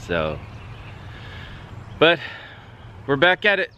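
A middle-aged man with an ordinary-pitched voice chats in a matter-of-fact, joking way. He is outdoors on a rooftop, and a bit of background noise comes through. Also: huge pitch swings, extremely slow, pushed and bright, lo-fi sound. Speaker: co-host doing the repairs. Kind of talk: casual on-camera chat.

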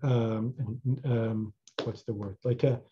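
A man's voice: lowish voice, fairly even intonation, slow pace, lo-fi sound, background noise. A man who teaches chant, talking casually in an online class, rambling with many fillers and restarts. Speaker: chanter and instructor.

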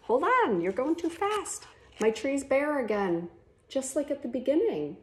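A woman in her thirties reading a picture book aloud to children in an animated, expressive way, like a teacher at story time. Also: sits high, low-quality recording. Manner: expressive, with gasps and drawn-out words for children. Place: living room at home, on a couch. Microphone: phone camera about a metre away.

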